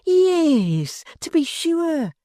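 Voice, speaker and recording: A woman's voice: sits high, sing-song, drawn-out words, mellow, dry recording, studio quality, voice pushed up, heavily acted. A woman voicing a little, weepy, tender-hearted male church clerk who whimpers and pleads. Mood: coaxing.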